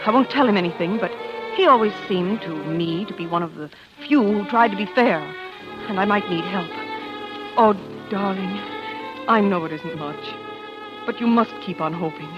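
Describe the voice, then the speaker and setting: An actress playing an earnest, determined wife in a 1940s radio drama, with a dramatic delivery that is quick to bristle. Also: female voice, mid-range voice, over music, natural voice. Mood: devoted, yearning. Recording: dull, lo-fi vintage radio recording.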